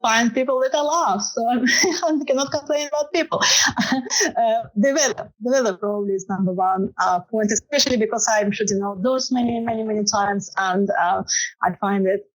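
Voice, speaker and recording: A woman's voice: a little high, moderate pace, low-quality recording. A woman with a Russian accent, a photographer answering interview questions casually, with many fillers and frequent laughter. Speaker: photographer guest.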